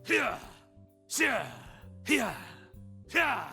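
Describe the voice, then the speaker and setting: A male actor playing a stern, disciplined martial arts monk warrior in a video game, speaking in solemn, measured pronouncements. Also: very high-pitched, sing-song, creaky, sharp tone, breathy edge, soft background music, heavily acted. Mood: fierce battle yells. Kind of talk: game voice lines, combat barks.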